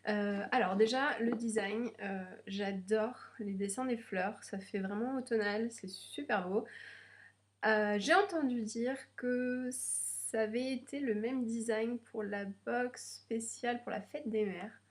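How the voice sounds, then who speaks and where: Young woman a bit stuffed up from a cold, nervous chatty unboxing, lots of euh, voice on the high side. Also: steady tone, takes it slowly. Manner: hesitant, many fillers, nervous first video. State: slightly stuffy nose from a cold. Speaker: beauty vlogger. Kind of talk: casual unboxing chat.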